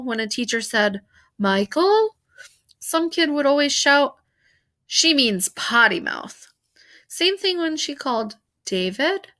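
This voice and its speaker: Lady reading a kids book out loud, kinda high voice, really expressive storytelling. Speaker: storybook reader. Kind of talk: expressive read-aloud narration of a children's novel.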